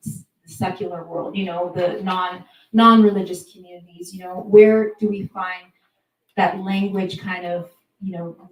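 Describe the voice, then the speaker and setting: A woman moderating a panel and asking halting questions with many pauses. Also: mid-range voice, darkish tone. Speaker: event host, moderator. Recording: echoey room, distant mic.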